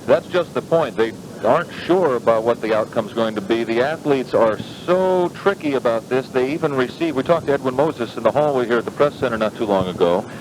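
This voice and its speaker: Over a noisy, rumbling 1980s radio link, an American man files a live sports report. He is a correspondent with a mid-range voice. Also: expressive, a little fast, hardly any pauses.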